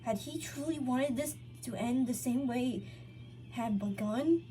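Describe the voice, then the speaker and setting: A woman's voice: a little high, measured pace, warm tone, faint music behind, poor audio. A woman reading fan fiction aloud as a narrator, sometimes stumbling over words and correcting herself. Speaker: story reader.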